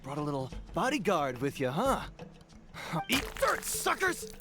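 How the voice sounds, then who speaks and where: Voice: mid-range voice, huge pitch swings, measured pace. An actor voicing a cowardly young thief who taunts and then begs. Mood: taunting. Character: cowardly young bandit, sneering. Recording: game audio with music underneath.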